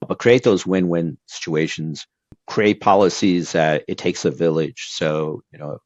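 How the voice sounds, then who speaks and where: A very deep-voiced man from Brazil, with Portuguese as his first language, talks as an interview guest. He gives advice eagerly, restarting his sentences and dropping in fillers. The recording is dry and slightly muffled. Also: a little slow, no room sound. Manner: earnest, animated advice-giving with frequent fillers and restarts. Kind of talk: casual interview talk.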